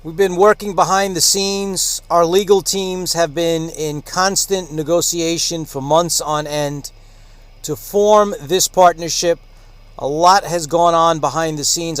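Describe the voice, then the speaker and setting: A male radio host speaks in a fairly high-pitched voice, addressing listeners as if delivering big station news. His delivery is emphatic and showy, stretching phrases out for effect. The sound is dry, with hardly any room to it. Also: steady tone, measured pace, clear, resonant tone, no echo. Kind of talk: station announcement talk to listeners.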